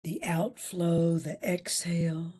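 A woman guides a meditation in a slow, relaxed voice. Her tone is breathy and a little husky, and she leaves long gaps between short, soothing phrases. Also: on the low side. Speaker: meditation instructor.

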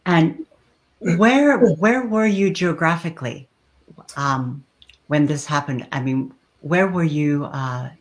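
A middle-aged woman hosting a video call interview, asking slow, thoughtful questions. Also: sits low, expressive, poor audio. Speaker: host. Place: small room indoors. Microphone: webcam on video call.

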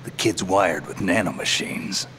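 An actor playing a world-weary, cynical veteran soldier in a video game, with a gruff, gravelly voice and a terse, matter-of-fact delivery. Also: male voice, low voice, unhurried, background noise. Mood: wry, guarded. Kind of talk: video game cutscene acting.

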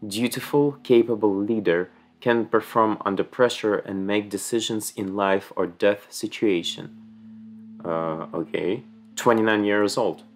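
A man's voice: on the low side, unhurried. A young man with a Ukrainian accent chatting casually and reading game text aloud as he plays, recorded at home. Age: young adult. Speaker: gaming streamer. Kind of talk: casual let's play commentary. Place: small room at home.

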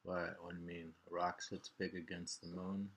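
An older man in his sixties reading poems aloud at home with informal, halting commentary, repeating lines and names. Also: low voice, level tone, very noisy. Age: 60s. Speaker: poetry reader. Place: small living room at home.

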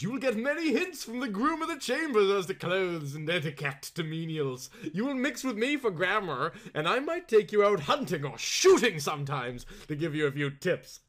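Man putting on a jolly, high-pitched grand earl. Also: fairly bright tone, exaggerated voice. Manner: jovial, pompous, exclamatory. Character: hearty, good-natured 35-year-old English earl, carelessly grand.

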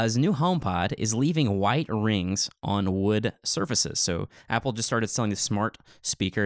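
Low-voiced man co-hosting a podcast, close and dry. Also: clear, resonant tone, no room sound. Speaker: podcast co-host. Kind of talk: casual podcast chat and commentary.

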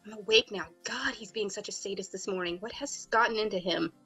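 A woman's voice: medium pitch, brisk, sharp tone, slightly rough, soft background music, heavily acted. A woman voicing a sleepy, melodramatic teenage boy who calls himself a great wizard, protesting in a whiny way. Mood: grumbling. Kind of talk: fan voicing of a visual novel.

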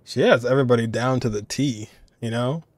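A man in his twenties speaks as a reaction video host, recorded dry and close. His commentary is casual and conversational, in a middle-pitched voice. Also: a little fast, no echo. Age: 20s.

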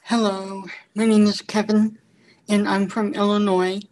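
A man's voice: sits high, completely flat delivery, unhurried, darkish tone, rough recording. A man of twenty carefully introducing himself on a video call. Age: 20s. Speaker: panelist, college student.

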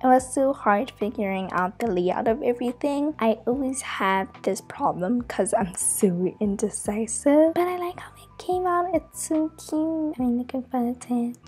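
A woman's voice: on the high side, moderate pace, few pauses, slightly rough, soft background music. Bubbly teen girl vlogger narrating. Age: teens. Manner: bubbly, gushing, soft-spoken. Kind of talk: casual vlog narration.

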